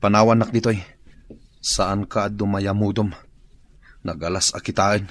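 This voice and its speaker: Man playing a low-voiced, querulous, confused man. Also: fairly even intonation, a little slow, lightly acted. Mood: annoyed. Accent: Filipino. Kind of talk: radio drama acting.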